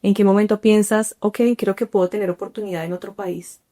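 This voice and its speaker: A woman's voice: mid-range voice, little pitch movement, brisk, mellow. A woman hosting an interview podcast, reading a scripted introduction and asking encouraging questions. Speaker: podcast host.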